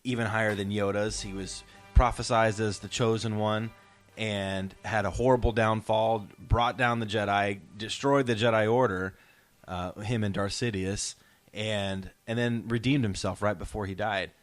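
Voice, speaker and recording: Low-voiced man hosting a casual podcast. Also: steady tone, normal speed, faint music behind. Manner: explanatory, encouraging. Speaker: podcast host.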